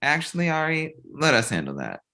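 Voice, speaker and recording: Male actor as easygoing young guy, lively pitch, placating, polite, comedy table read. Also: brisk, full character voice. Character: mild, conciliatory young man Eric.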